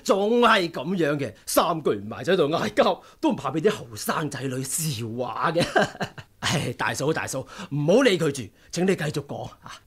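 An actor playing a jovial, teasing martial-arts peacemaker in a Hong Kong Cantonese radio drama. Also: male voice, fairly high pitch, big pitch swings, moderate pace, husky. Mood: jovial, placating.

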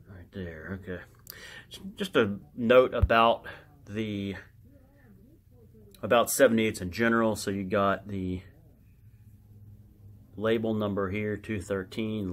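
A man giving casual, unscripted show-and-tell commentary as a collector, halting and muttering to himself as he reads aloud. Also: on the low side. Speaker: record collector showing his records.

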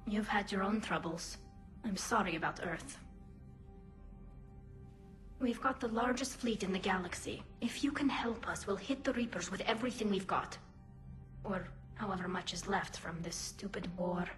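An actress voicing a young female alien engineer in a video game cutscene, speaking softly in an earnest, loyal manner. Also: mid-range voice, moderate pace, soft background music, natural voice.

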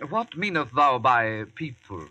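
A man's voice: mid-range voice, big pitch swings, unhurried, bright, forceful tone, lo-fi sound, heavily acted. A young man playing an eager, deferential medieval page with earnest, archaic courtly speech in a radio drama. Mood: earnest, puzzled. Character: eager, deferential high-born youth serving as medieval page. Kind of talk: 1940s radio acting.

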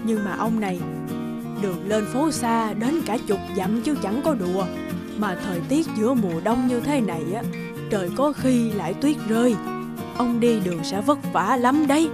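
A woman playing a kindly, fretful old village wife near seventy in a cartoon folktale, gentle and fussing. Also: a little high, expressive, normal speed, close to an everyday voice. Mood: worried. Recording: clear music underneath.

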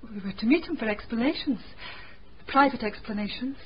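A woman's voice: fairly high pitch, brisk, close to an everyday voice. An actress playing an agitated, urgent woman in a radio mystery. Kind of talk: dramatic radio acting. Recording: dull, faintly noisy radio recording.

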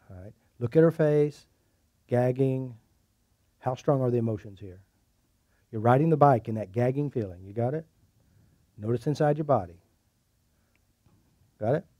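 A man's voice: medium pitch, normal speed, pauses often, mellow, no room sound, studio quality. A middle-aged man leading a seminar, coaching a volunteer in front of a group. He jokes, repeats words and gives direct instructions. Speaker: seminar leader. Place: room at a live seminar.

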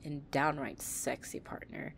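Low, slow-talking delinquent girlfriend, acted by a woman. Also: fairly even intonation, creaky, noisy background. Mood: tender, grateful. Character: possessive young delinquent gang-leader girlfriend, tough but tender. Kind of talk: intimate audio roleplay acting.

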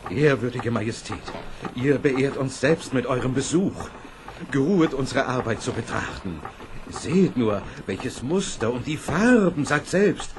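An actor playing a sly swindler posing as a weaver, flattering and falsely humble in a fairy-tale audio drama. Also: male voice, mid-range voice, measured pace, close to an everyday voice. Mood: ingratiating. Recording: noisy old cassette.

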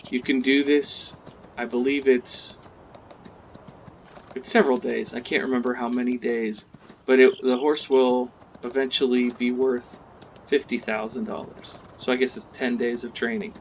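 A man hosting a casual gameplay tutorial, chatting in a relaxed way as he plays, with game sounds faintly behind. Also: medium pitch, normal speed, a little hiss or hum, poor audio. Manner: relaxed, chatty, talks while playing. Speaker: gaming channel host.

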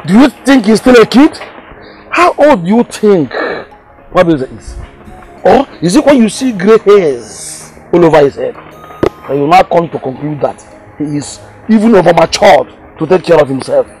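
Stubborn nigerian igbo village dad, fed up, high voice, very animated, repeats himself, nollywood style. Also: male voice, normal speed, soft background music, rough recording. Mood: bitter, scornful. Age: middle-aged. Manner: emphatic, repeats phrases, mixes Igbo and English. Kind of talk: Nollywood film drama acting.

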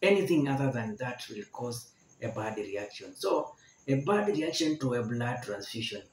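A man in his forties teaching a health lesson to camera, slowly repeating each point to explain it. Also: medium pitch, expressive. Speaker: medical educator. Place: indoor room with barred window. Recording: echoey room.

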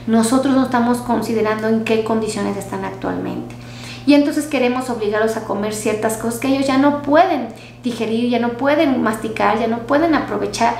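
High-voiced woman doctor, echoey room. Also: a little fast. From Mexico. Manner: warm, repetitive, uses diminutives. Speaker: doctor giving health advice. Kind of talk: casual health talk to viewers. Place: small clinic room. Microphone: headset mic. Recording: echoey room with faint hum.

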